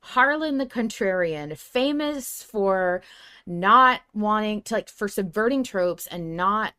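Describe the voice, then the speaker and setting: A woman hosting a podcast, chatting casually and debating in an animated, playful way. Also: mid-range voice, slow pace, clean tone. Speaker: podcast host. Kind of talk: casual chat about an audio drama.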